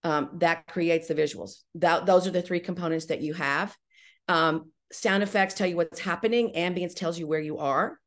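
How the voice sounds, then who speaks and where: Female interview guest, slightly low voice, explanatory and conversational, checks in often as she explains. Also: normal speed, fairly bright tone. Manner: explanatory, often checking with "right?" and "you know". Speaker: guest, audio drama writer-director.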